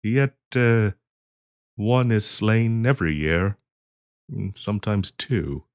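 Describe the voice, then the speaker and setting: Man playing half-dragon broker and diplomat for fantasy roleplay audio: lowish voice, very animated pitch, polite, earnest, hesitant. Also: smooth. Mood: grave, somber. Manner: hesitant, polite, halting with frequent ums and soft chuckles. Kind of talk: roleplay audio acting, addressing the listener.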